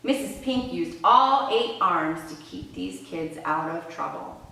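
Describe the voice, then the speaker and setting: A woman with a low voice speaks as a reading tutor and storyteller. Her delivery is expressive and conversational, directed at her listeners. The recording is very echoey and sounds some way from the microphone. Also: a little slow, vocal fry. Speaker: reading tutor and read-aloud presenter. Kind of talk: read-aloud storytelling and instructional explanation. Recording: roomy echo, distant microphone.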